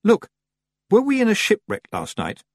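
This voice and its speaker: A man voicing a practical young girl witch who talks briskly and firmly. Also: high voice, huge pitch swings, no room sound, pitched above the performer's own voice, exaggerated voice. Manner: brisk, commanding.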